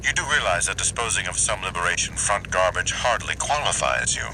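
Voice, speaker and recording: Sneering, pompous commander with animated pitch. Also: male voice, fairly quick, background noise. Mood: contemptuous. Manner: pompous, condescending, clipped formal diction. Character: haughty, sneering male corporate squad commander. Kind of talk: video game mission briefing acting.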